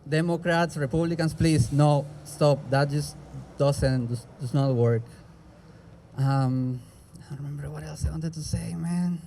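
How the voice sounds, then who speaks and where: A man's voice: on the high side, measured pace, gravelly, dark tone, a little hiss or hum. Young Peruvian man, rambling rally talk. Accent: Peruvian Spanish. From Peru. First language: Spanish. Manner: casual, rambling, many "like" fillers. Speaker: rally speaker. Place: outdoor street rally.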